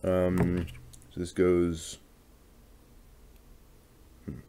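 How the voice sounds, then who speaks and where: Man, very deep voice, unhurried pace, casual build-along chat, halting, absorbed muttering. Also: completely flat delivery, noisy background. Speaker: hobby channel host building a model kit on stream.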